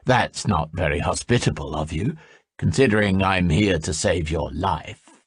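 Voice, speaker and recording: A man voicing a smooth, menacing young male envoy who speaks low, level and slow. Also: no room sound, exaggerated voice. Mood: silkily menacing.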